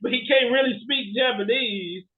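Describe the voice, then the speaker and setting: A man co-hosting a podcast in casual chat, rambling in an animated way and repeating his phrases. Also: very high voice, normal speed, phone-quality sound, slight background noise. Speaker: podcast co-host. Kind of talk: casual chat about bad movies.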